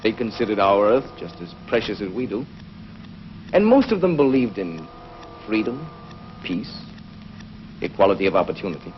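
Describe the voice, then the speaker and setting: A learned doctor of the future in a 1950s TV space serial. He explains things earnestly and deliberately, with widely swinging pitch. The soundtrack is dull and noisy. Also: very animated. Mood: thoughtful, earnest. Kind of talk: 1950s television science fiction acting.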